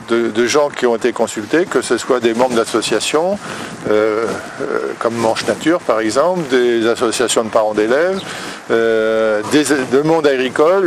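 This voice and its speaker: Male local councillor, mid-pitched, halting interview delivery with searching pauses, light outdoor noise in the background. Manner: hesitant, searching for words, halting phrasing. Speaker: local elected official, vice-president of a rural district council. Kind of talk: spoken interview testimony.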